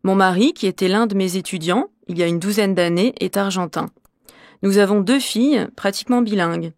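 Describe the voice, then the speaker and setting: A middle-aged female French teacher, played by a woman explains herself articulately in a rehearsed interview for a language course. Her pitch sits in the middle range. The recording is dry and close, with no room sound. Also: fairly quick, a little mellow, dry recording, lightly acted. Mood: composed. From Normandy, France.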